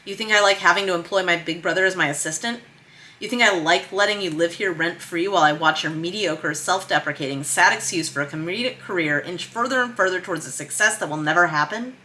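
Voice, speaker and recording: A woman reading a film script as a vain but caring himbo younger brother, pointed yet gentle. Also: lowish voice, fast. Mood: cutting. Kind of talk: screenplay read-through. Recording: noticeable background noise.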